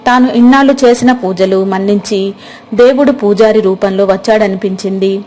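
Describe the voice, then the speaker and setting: A woman's voice: medium pitch, normal speed, dark tone, slight background noise, rough recording. A woman storyteller reading a scripted moral story as narration.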